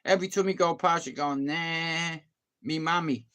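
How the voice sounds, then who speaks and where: High-voiced crude Irish man. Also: moderate pace, fairly bright tone, clear, resonant tone. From Ireland. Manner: crude, joking, swearing. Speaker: livestream host. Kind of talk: casual chat with viewers.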